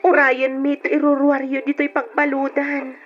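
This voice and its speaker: A sweet, devoted Ilocano daughter, in an old radio serial. Her young voice is high and unhurried, earnest and sentimental, on a muffled recording. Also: monotone, faint music behind. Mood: earnest, sad. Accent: Filipino, Ilocano. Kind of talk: old radio drama acting.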